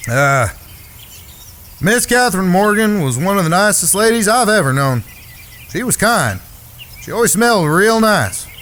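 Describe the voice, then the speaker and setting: Man acting a higher-voiced, folksy outlaw brother. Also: sing-song, slow pace, creaky, pauses often, bright, forceful tone, a little hiss or hum, exaggerated voice. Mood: awkward, mournful. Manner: blunt, folksy. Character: rough, plain-spoken frontier outlaw brother. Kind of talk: western audio drama acting.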